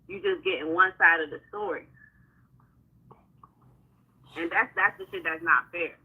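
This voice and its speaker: A hoarse woman singer talking heatedly in a casual livestream chat, venting in slangy speech. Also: fairly low pitch, a little slow, phone-quality sound. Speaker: singer and guest.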